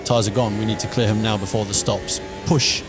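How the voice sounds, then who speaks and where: A man's voice: fairly low pitch, fairly even intonation, a little fast, music in the background. A man playing an urgent, terse race engineer talking over team radio. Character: urgent male race engineer urging driver on.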